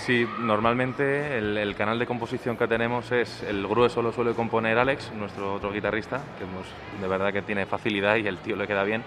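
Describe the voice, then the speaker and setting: A low, flat male musician explains things steadily. He is recorded in an echoey, noisy room. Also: fairly quick, few pauses. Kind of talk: casual interview.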